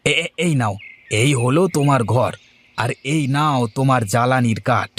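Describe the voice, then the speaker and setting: A man's voice: mid-range voice, a little slow, fairly bright tone, slight background noise, natural voice. A man voicing a simple, good-natured village man in a cartoon, naive, hesitant and eager to oblige. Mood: kindly, obliging. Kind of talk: cartoon voice acting.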